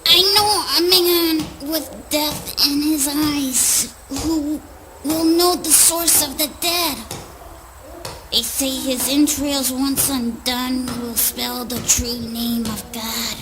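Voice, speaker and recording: Lady acting as sly old crone, high voice, slow and taunting, noisy recording. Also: steady tone. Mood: cryptic, sly. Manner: taunting, theatrical, unhurried. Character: scornful sly old crone scavenging river corpses. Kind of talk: spoken word play acting.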